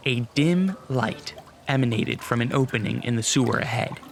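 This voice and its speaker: A man narrates a radio adventure serial in a measured storytelling way. His voice sits at a medium pitch, with faint music behind. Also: gravelly. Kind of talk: scripted radio drama narration.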